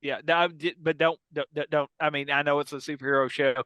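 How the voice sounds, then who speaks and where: A man with a bright, forceful voice set a little high chats as a podcast co-host. He teases and banters casually, repeating words to drive a point home. The recording is dry. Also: flat delivery, fast, clean tone, dry recording. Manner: chatty, teasing, emphatic, repeats words.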